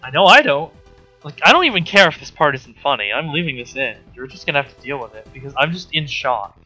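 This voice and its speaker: A man's voice: on the high side, sing-song, quick pace, bright, forceful tone, faint music behind. A man giving a casual comedic rant as a book commentator, with an exasperated, sarcastic, profane way of talking. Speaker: host reviewing a book.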